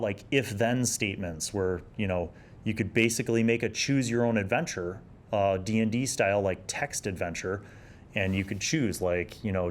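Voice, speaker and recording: A man in his forties talking casually on his own as he hosts a review show, reflective and explanatory in a conversational way. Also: lowish voice. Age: 40s. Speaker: host of an old gaming review series. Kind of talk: casual solo review and reminiscence.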